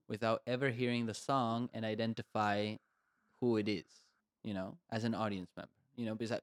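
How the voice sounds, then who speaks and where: Man, lowish voice, dry close sound, from Argentina, podcast co-host, rambling, lots of "like". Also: no room sound. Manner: thoughtful and rambling, heavy use of "like" and "you know". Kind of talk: casual conversation.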